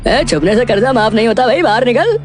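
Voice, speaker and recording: A highly animated, taunting loan collector played by a woman from a village cartoon tale. He drawls threats and mockery in a highish voice, over faint music. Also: quick pace, close to an everyday voice. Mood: mocking threat. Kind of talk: dramatised cartoon story.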